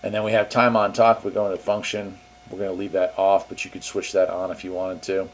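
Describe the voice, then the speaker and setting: A man's voice: sits low, little pitch movement, fast talker. A man hosting a casual gear demo in an easygoing, upbeat way. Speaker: host reviewing radio gear.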